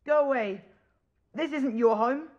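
Game role: a small village boy, timid yet brave, polite, earnest, halting delivery. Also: sits high, a little mellow, dull audio. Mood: frightened, defiant. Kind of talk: video game character dialogue.

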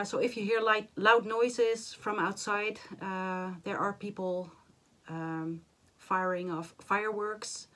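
A woman in her forties, hoarse and a little nasal after the flu, chatting to camera about her stitching projects from a small home craft room, with many fillers and restarts. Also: mid-range voice, fairly bright tone. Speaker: cross-stitch vlogger. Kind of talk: casual chat to camera.

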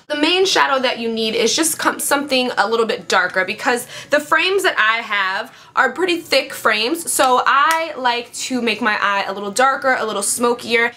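A young woman in her twenties with a slightly raspy voice, chatting playfully through a makeup tutorial at her vanity. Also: a little high, bright, forceful tone. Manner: chatty, playful, talks while applying makeup. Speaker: beauty vlogger. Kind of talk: casual makeup tutorial chat. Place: home makeup room with vanity. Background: faint background music.

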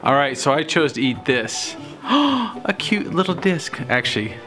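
A woman's voice: deep voice, big pitch swings, unhurried, over music. A middle-aged woman leading a family game at home, laughing and calling the rounds. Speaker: family vlogger mom. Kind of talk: casual family game chat. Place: home dining room.

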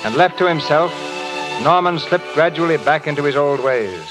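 Casting: male vintage radio narrator, fairly high voice, formal and measured, music underneath. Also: expressive, low-quality recording. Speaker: announcer and narrator. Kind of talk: 1930s radio scripted narration.

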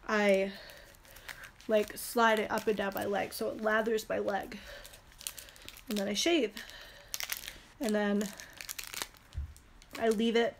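A woman's voice: mid-range voice, slight background noise. A young woman with a head cold and a stuffy nose, chatting casually and rambling through a product haul in a small room on a close phone camera. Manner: chatty, rambling, with many fillers. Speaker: beauty vlogger. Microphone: phone camera held close.

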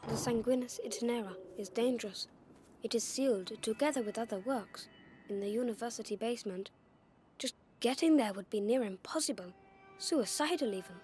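A woman's voice: fairly high pitch, a little fast, a little mellow, a little hiss or hum, natural voice. A performer with a woman's voice playing a bookish young alchemist's apprentice in a video game, careful and explanatory. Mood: cautioning. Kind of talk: dramatic video game acting. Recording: faint music behind the voice.

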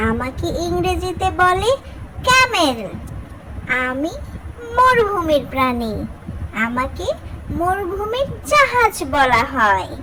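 A narrator of a children's educational cartoon, speaking in a deliberate, sing-song teaching manner. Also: sits high, noisy background. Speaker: narrator introducing animals for children.

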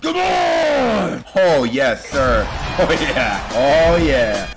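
A young man with a raspy, strained, partly lost voice giving excitable, slangy gameplay commentary, swinging from muttering to yelling. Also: a little high, extremely slow. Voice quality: hoarse, raspy. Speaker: gaming video host. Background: music behind the voice.

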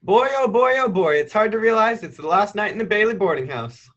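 Young man acting an eager, high-voiced youth. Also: expressive, brisk, a bit bright, lo-fi sound. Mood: upbeat. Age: young adult. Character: eager, loyal young man dreaming of travel. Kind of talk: radio play acting.

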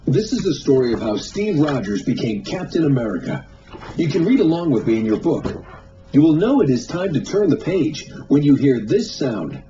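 A man's voice: mid-range voice, very animated, normal speed, a little hiss or hum. A man reading a children's read-along storybook as a dramatic scripted narrator. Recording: dull playback with loud music. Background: loud instrumental music.

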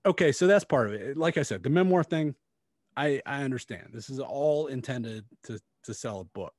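A man's voice: mid-range voice, expressive, normal speed, dry recording, studio quality. A man in his forties co-hosting a casual review podcast, talking bluntly and critically with plenty of swearing. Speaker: podcast co-host. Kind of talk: casual book review chat. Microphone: close studio mic on stand, headphones.

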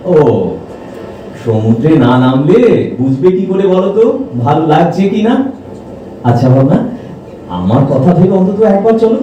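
Anxious young male suitor played by an actor, highish mellow voice, swooping intonation, pleading; echoey hall audio drama. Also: expressive, normal speed, pauses often, highs cut off, lightly acted. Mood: coaxing. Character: Rahul, gentle, self-effacing, anxious young suitor. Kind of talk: Bengali audio drama acting. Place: multipurpose hall performance. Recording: echoey hall, distant mic, faint noise.

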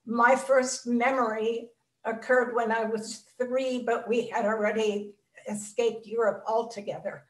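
Older woman, survivor testimony over a video call, measured and reflective, fairly high voice, roomy sound picked up from a distance. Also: fairly even intonation. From Czechoslovakia. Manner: measured, reflective storytelling with pauses. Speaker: Holocaust survivor speaker. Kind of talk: personal testimony in an interview. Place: home room.

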